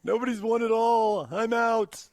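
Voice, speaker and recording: A man's voice: very high voice, fairly even intonation, unhurried, darkish tone, no room sound, clean studio sound. An American man co-hosting a sports talk radio show, bantering and reading out comments in punchy, emphatic short phrases. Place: radio studio. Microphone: close studio microphone.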